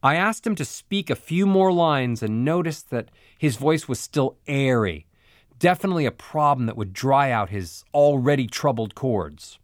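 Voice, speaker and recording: A man teaching vocal exercises as a voice coach, speaking clearly and now and then demonstrating the sounds he means. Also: mid-range voice, lively intonation, moderate pace, no echo, clean studio sound. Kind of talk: instructional audiobook narration.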